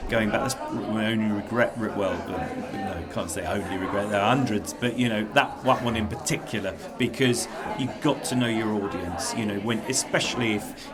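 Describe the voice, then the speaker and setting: A man working as a children's presenter and director, chatting casually in an interview with an enthusiastic, rambling, self-deprecating manner. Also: fairly low pitch.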